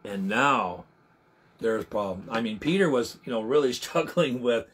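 A man in his sixties giving an informal Bible teaching as a preacher, with a husky voice and a conversational, halting delivery that often repeats and rephrases. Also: mid-range voice, lively intonation, a bit bright. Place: small home room, indoors.

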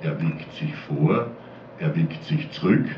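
A man's voice: sits low, sing-song, takes it slowly, creaky, a little hiss or hum. A man reciting a rhymed dialect poem at a pub slam. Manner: sing-song rhyming delivery. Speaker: slam poet. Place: small pub stage. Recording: roomy distant lo-fi camcorder sound.

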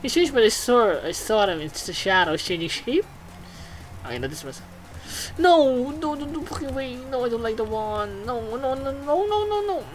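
A woman doing let's play commentary, excitable and sweary, repeating phrases rapidly as she reacts and reads game text. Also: fairly high pitch, rough recording. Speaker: video game streamer. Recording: game music behind the voice. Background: video game music.

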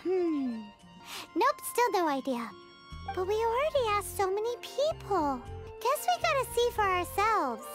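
A woman voicing a tiny, excitable childlike fairy companion in a video game, squeaky and theatrical. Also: unhurried, over music, heavily acted. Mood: pondering. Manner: excitable, theatrical, refers to self in third person.

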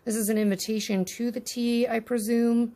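A woman's voice: a little high, little pitch movement, normal speed, mellow. A woman casually chatting to her viewers as she unboxes tiny miniatures at her table, talking to the items as she handles them. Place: indoors at a tabletop.

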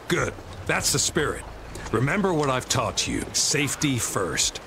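Gruff fatherly drill instructor in a game, croaky voice, lively delivery, commanding. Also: medium pitch, takes it slowly, exaggerated voice. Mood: encouraging. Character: gruff, encouraging male military training instructor. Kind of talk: video game character dialogue. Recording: noisy outdoor game ambience.

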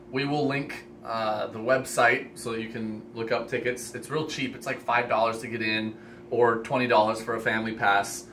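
Male vlogger, 20s-30s, eager and sincere, bright tone, filmed indoors with some background noise. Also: mid-range voice. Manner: enthusiastic, earnest. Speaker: host, reptile expo organizer. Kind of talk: casual vlog announcement to camera. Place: indoor room lined with reptile racks. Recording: steady ambient room noise.

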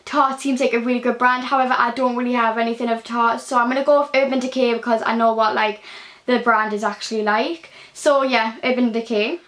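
A woman's voice: a little high, steady tone, fairly quick, a bit bright. A teenage girl with a Geordie accent chatting casually in a bedroom Q&A vlog, full of ums and likes, recorded on a phone. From Newcastle, England. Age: early teens. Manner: chatty, many ums and likes, gestures while talking. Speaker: beauty vlogger. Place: small bedroom indoors. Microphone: phone camera at close range.

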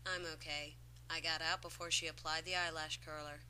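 A woman voicing a sardonic, gloomy teenage girl in a cartoon, in an unimpressed deadpan monotone. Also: sits low, a little fast, slight background noise, poor audio. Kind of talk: dry cartoon acting.

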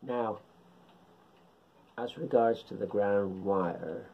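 A middle-aged man giving an informal tutorial in short, halting phrases, speaking at home into a camera microphone. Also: on the low side, vocal fry, breathy edge, muffled recording. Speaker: amateur radio hobbyist presenter. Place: indoors, living room at home.

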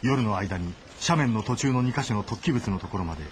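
A man's voice: on the low side, lively intonation, a little fast, a little hiss or hum. A man delivering dramatic scripted narration of a story as its narrator. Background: loud music.

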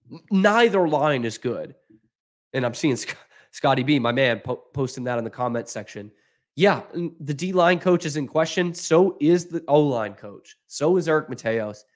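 Exasperated young man ranting about football. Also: a little high. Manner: exasperated, sarcastic, animated, talks straight to viewers. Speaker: sports podcast host. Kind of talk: postgame analysis, casual rant. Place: small room at home, indoors. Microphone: desk microphone in front of him.

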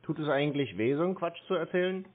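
A man's voice: fairly high pitch, expressive, fast talker. A man with a blunt, mocking manner, talking off the cuff in a prank phone conversation. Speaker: scam-baiter taking phone calls. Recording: tinny phone line with background chatter.

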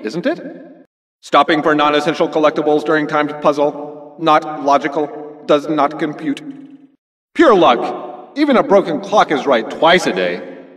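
Smug cartoon nerd villain, highish voice, wildly animated pitch, boastful, petulant, echoey reverb, video game. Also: male voice. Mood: whiny, panicked. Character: smug, jealous nerdy game designer villain, cartoon parody.

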